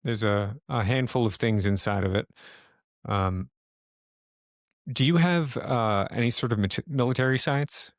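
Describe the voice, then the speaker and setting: A man narrating a tabletop horror game as game master, improvising in a halting way as he thinks aloud. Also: medium pitch, no room sound, studio quality.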